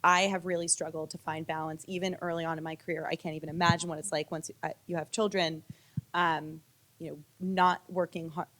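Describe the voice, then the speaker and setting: A woman's voice: sits low, little pitch movement, fairly quick, fairly bright tone. A woman speaking candidly and personally on a public panel, in a conversational way. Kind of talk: panel discussion.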